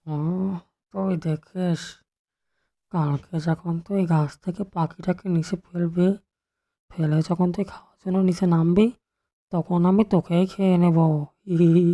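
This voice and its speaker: A man plays a wicked fox in a kids' cartoon, pitched a little high for a male. He coaxes and schemes, greedy and sly throughout. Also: little pitch movement, measured pace, warm tone, lo-fi sound. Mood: gloating, scheming. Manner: coaxing, scheming. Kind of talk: children's cartoon voice acting.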